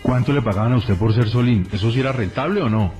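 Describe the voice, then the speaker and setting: A man's voice: mid-range voice, quick pace, a little mellow, soft background music. A man with a Colombian accent conversationally interviewing guests on the radio.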